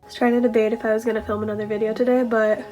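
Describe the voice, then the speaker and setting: A woman vlogger chatting casually behind the scenes, soft-spoken and often breathy, nearly whispering. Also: a little high, little pitch movement, rapid-fire, faint music behind. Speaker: ASMR video creator and vlogger.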